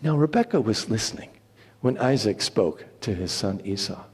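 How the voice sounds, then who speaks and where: A man giving a theatrical, deliberate dramatic reading of scripture, swelling and then hushing. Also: lowish voice, animated, breathy edge. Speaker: scripture reader. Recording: long hall reverb.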